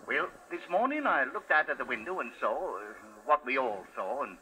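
Piercing, animated Cockney bloke. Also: male voice, medium pitch, rough recording. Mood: rambling, boastful. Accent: London Cockney. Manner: folksy, halting, ungrammatical. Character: working-class London man, plain-spoken, rambling cat owner. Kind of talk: 1950s radio drama acting. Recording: vintage 1950s radio broadcast.

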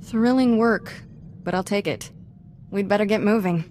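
A woman's voice: medium pitch, moderate pace, a little mellow, slight background noise, close to an everyday voice. A woman playing a restless, wisecracking young apprentice in a video game, breezy and sardonic. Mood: wry.

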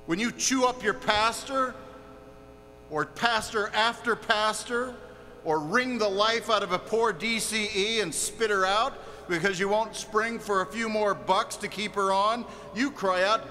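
A man's voice: sits high, a little slow, sharp tone. A man preaching a fiery, confrontational sermon with emphatic, repetitive rhetorical phrasing. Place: large reverberant hall. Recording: echoey hall, faint mains hum.

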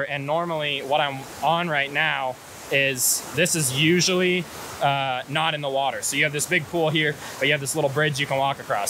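A young American man with a fairly high, bright, forward voice explains things in an easygoing vlog style. He is outdoors on an action camera, with hiss and rushing water behind him. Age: 20s. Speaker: hiking and photography guide. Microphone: action camera at arm's length.

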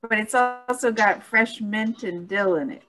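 A woman chatting on a video call, talking hesitantly with pauses. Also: medium pitch, fairly bright tone, soft background music. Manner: hesitant, with fillers. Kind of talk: casual video call chat.

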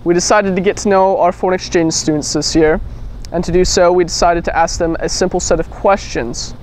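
A teenage boy reads school announcements from a script, talking straight to his listeners. His voice sits somewhat high for a man. Faint background noise runs under it. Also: fairly even intonation, brisk, low-quality recording. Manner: addresses the school audience directly. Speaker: student news anchor.

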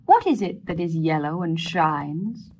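A woman voicing a stern old wise woman who speaks slowly and commandingly. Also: deep voice, sing-song, darkish tone, slight background noise, exaggerated voice.

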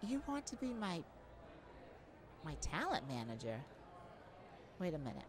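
A woman's voice: sits low, wildly swooping pitch, unhurried, crowd noise, heavily acted. A woman voicing an affectionate, modest young male bar singer in an intimate ASMR roleplay, sweet-talking and hesitant. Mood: nervous, polite.

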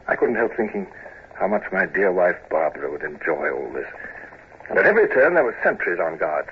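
Brooding Royal Navy officer, clipped formal delivery, lowish pitch, dull vintage radio sound. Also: male voice. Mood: reflective narrating. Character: serious, brooding British Royal Navy commodore. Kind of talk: 1950s radio drama acting and first-person narration. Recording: noisy, dull old radio recording.

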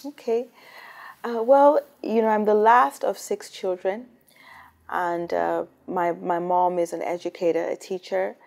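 A woman's voice: mid-range voice, steady tone, a little slow, vocal fry, darkish tone. A woman from Cameroon, a singer, answering interview questions in an eager, storytelling way. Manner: enthusiastic, storytelling, repeats words. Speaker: singer and rapper guest. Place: hotel room interview set, indoors.

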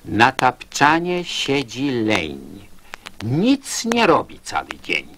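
Animated man reciting children's verse. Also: mid-range voice, slow pace, background noise. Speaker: poetry reciter. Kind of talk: theatrical children's poem recitation.

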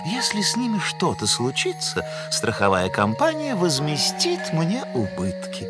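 A man voicing a pompous male elk scientist who lectures his friends in a lofty tone, over loud cartoon music. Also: fairly high pitch, wildly swooping pitch, measured pace.

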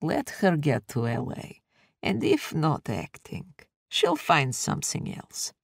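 A woman voicing a frail, doting elderly grandmother who speaks slowly, softly and coaxingly in an audiobook. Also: deep voice, huge pitch swings, gravelly, dry recording, clean studio sound, exaggerated voice. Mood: gentle. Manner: slow, soft and gently coaxing.

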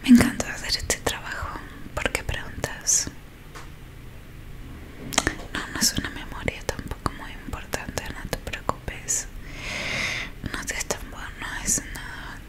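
A young woman with an Argentine accent does a soothing ASMR roleplay in a breathy whisper, close to the microphone. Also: a little slow, pauses often. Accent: Argentine Spanish. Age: young adult. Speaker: ASMR performer playing a technician who erases memories. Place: small dim room indoors. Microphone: close microphone in front of her.

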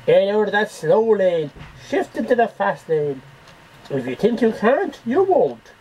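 A man's voice: sits high, big pitch swings, unhurried, warm tone. A man voicing an earnest, theatrical poetry reciter in an amateur home recording. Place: small cluttered bedroom. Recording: dull noisy webcam audio.

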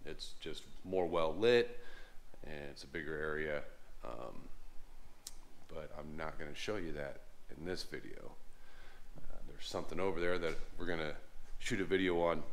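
A man in his forties giving a casual walkthrough of his business's garage shop. He talks in a relaxed, plainspoken way, with many fillers and restarts, into a camera held at arm's length. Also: very deep voice, moderate pace, a little hiss or hum. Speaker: lawn care business owner. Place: large unfinished garage workshop, indoors. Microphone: handheld camera at arm's length.

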